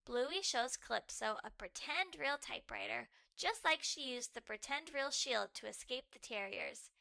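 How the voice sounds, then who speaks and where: A woman reading children's stories aloud as a storyteller, in an expressive, deliberate manner. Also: high-pitched, vocal fry, no room sound. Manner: animated, deliberate, models fluent reading.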